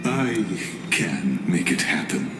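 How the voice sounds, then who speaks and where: An actor playing a courteous, calculating scholar in a fantasy video game, speaking in a formal, measured, deferential way. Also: male voice, low voice, big pitch swings, roomy sound, over loud music, exaggerated voice. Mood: guarded, probing. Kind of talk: video game character dialogue.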